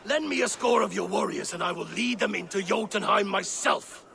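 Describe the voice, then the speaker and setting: Gravelly, hot-headed Norse thunder god. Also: male voice, high voice, normal speed, bright, forceful tone, full character voice. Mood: scornful, impatient. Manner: blustering, combative. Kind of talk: dramatic video game acting.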